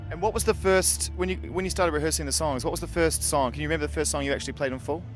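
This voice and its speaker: A man's voice: on the high side, racing, faint music behind. A man interviewing a band backstage at an outdoor festival, asking eager, chatty questions. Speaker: radio interviewer.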